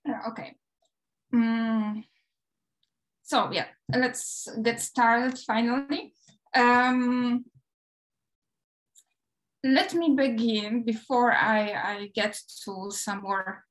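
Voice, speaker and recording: A woman's voice: fairly high pitch, a bit bright, poor audio. A woman with a Polish accent giving an academic lecture over a video call, hesitant, with light laughs as she talks. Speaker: guest lecturer.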